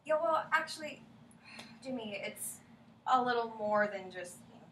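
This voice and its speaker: A woman in a staged reading playing a reluctant, apologetic bride-to-be gently turning down her ex, halting and hesitant. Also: on the high side, lively intonation. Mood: reluctant, uneasy. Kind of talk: staged play reading, naturalistic dialogue. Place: indoor room at a staged reading. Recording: echoey room, distant mic, faint noise.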